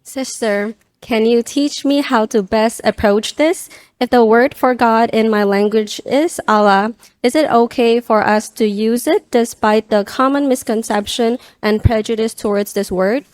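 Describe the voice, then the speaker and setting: A woman's voice: on the high side, slow, darkish tone, no echo. A woman from Indonesia, earnestly reading a prepared question aloud.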